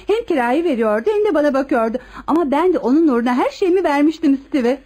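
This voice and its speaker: An actress playing a brazen, defensive mistress under questioning in a radio play, dramatic and plaintive. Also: female voice, high-pitched, animated, fast, mellow. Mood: indignant, boastful. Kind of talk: radio theatre acting.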